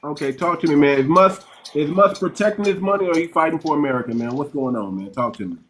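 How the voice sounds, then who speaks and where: A man's voice: on the high side, a little hiss or hum. A man hosting a call-in show, chatting casually with callers and repeating short affirmations. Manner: repeats "okay" and "man", quick interjections.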